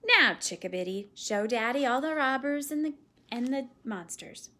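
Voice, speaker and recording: A woman voicing a calm, patient father who gently teases his daughter with dry jokes. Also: high voice, moderate pace. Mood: gently teasing. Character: calm, teasing father, a lawyer.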